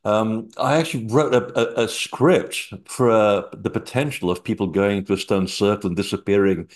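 A middle-aged man from Portugal whose accent blends Portuguese, British and North American sounds. He talks in a very low voice with animated, filler-heavy storytelling, heard over a video call. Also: moderate pace. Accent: mixed Portuguese, British and North American. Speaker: guest researcher.

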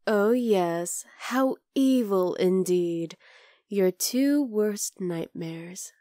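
A woman's voice: on the low side, a little mellow, no room sound, studio quality. A woman playing a young wolf-girl girlfriend in an intimate ASMR roleplay, affectionate, teasing and coaxing. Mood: playful teasing. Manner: cooing, playful, coaxing.

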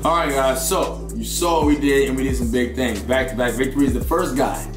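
Young man, raspy, hoarse, excitable gameplay commentary, mid pitch, roomy echo. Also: a little fast, over music. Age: young adult. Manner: excitable, hypes plays, chatty with viewers. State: hoarse, sore voice. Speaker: gaming YouTuber.

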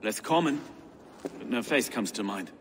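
An actor playing an earnest, anxious medieval official in a game cutscene, speaking expressively and eloquently. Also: male voice, fairly low pitch, lively intonation, normal speed, fairly bright tone. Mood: sombre. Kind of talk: dramatic game cutscene acting. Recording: reverberant hall, faint ambient noise.

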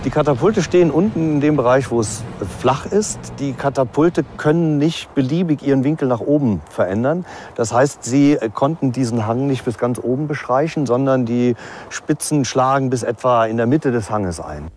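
A man's voice: medium pitch, expressive, moderate pace, darkish tone, faint music behind. A man giving an unscripted expert explanation in an interview.

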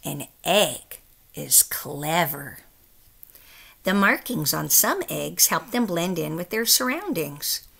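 A woman's voice: on the low side, very animated, takes it slowly, creaky, a bit bright, slight background noise. A middle-aged woman reading a children's picture book aloud, with careful, emphatic phrasing for young listeners. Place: small room at home, indoors.

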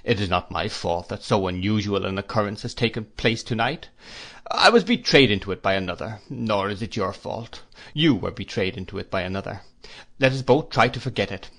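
A man's voice: on the low side, lively intonation, fairly bright tone. Guy doing a cold arrogant tyrant husband, stern n pompous, orders everyone about, old novel style. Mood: stern, magnanimous. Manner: commanding, pompous, measured. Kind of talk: classic novel read aloud.

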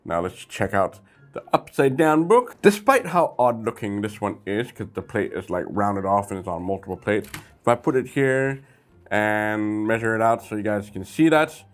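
Laid-back chatty man reviewing brick toys. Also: fairly low pitch. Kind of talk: casual review vlog.

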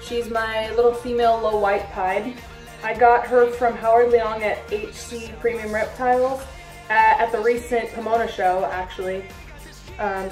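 A woman's voice: darkish tone, recorded from a distance. Bubbly young woman in an echoey room. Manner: bubbly, gushing over her snakes, squeals with high baby talk. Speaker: reptile keeper vlogger. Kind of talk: casual vlog chat. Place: small bedroom reptile room indoors. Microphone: camera a little way off. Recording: roomy echo, voice a bit off-mic, faint music.

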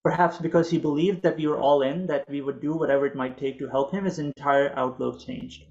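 A man in his thirties whose first language is Urdu, reading a prepared talk in a measured, earnest way over a video call from a home office. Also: on the high side, fairly even intonation, a little mellow, muffled recording. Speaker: physician presenter.

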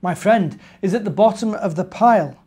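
A man's voice: sits high, moderate pace, warm tone, husky, pitched above the performer's own voice. A British man voicing a kind, curious young bear cub in a children's picture book, earnest and emphatic. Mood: curious, helpful.

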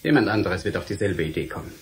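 A man's voice: sits low, expressive, fast, slight background noise. An actor playing a defiant, principled young scientist in a German radio play, insistent and articulate in his challenges. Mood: challenging. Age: young adult. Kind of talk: German radio drama acting.

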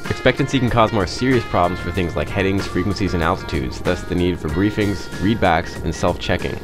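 Fast, low-voiced man narrating. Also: music in the background. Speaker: host, student pilot. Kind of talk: scripted voice-over narration.

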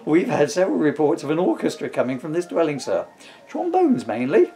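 A man's voice: on the high side, sing-song, a little fast, dark tone, soft background music, voice pushed up. A man voicing a polite, sarcastic police officer from a comic storybook, with a formal, dry manner. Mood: polite, wry.

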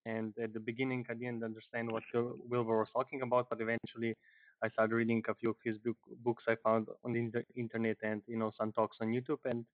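A man's voice: medium pitch, no room sound. A thirty-year-old man with a Slovenian accent introduces himself informally on a group call, hesitantly, often repeating words. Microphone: internet or phone call, headset. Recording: muffled narrow phone-like call audio.

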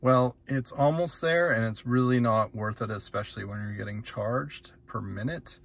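A man in his thirties narrating a road-trip vlog from inside his car, explaining things in a relaxed way with fillers and restarts. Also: medium pitch, moderate pace, vocal fry. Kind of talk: casual vlog narration while driving. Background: music playing under the voice.

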